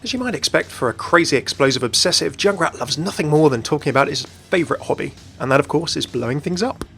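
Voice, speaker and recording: Fast-talking male gaming narrator, music underneath. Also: a little high, lively intonation, vocal fry, a bit bright. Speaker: video presenter and narrator. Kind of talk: scripted explainer narration for gaming video.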